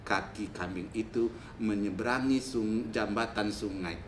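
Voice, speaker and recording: Male storyteller for young children: slow, simple, slightly hesitant delivery, echoey recording with faint noise. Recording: roomy echo, faint rumbling noise.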